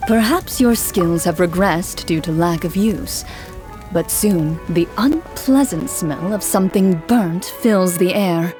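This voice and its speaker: A woman playing a measured game-master narrator, reading scripted second-person narration in a video game. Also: on the low side, darkish tone, faint music behind. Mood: measured, descriptive.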